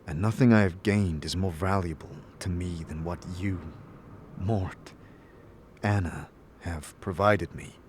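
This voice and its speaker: Man playing a deep, reflective fantasy wanderer. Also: noisy background. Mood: grateful, sincere. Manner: earnest, reflective, halting. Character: thoughtful male wanderer on a quest, sincere, searching. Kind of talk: fantasy audio drama acting.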